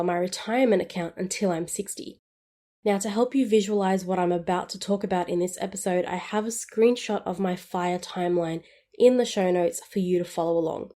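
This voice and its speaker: A woman's voice: mid-range voice, dark tone. A woman in her twenties hosting a solo podcast, explaining things in an upbeat, friendly way. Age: 20s. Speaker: podcast host. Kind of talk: scripted solo podcast on personal finance.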